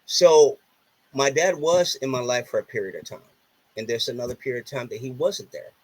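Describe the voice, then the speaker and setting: Puerto Rican man, Spanish first language, husky tone, earnest storyteller, lots of restarts. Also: mid-range voice, brisk, rough recording. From Puerto Rico. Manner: earnest, repeats himself, many restarts. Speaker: podcast guest. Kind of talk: casual interview storytelling.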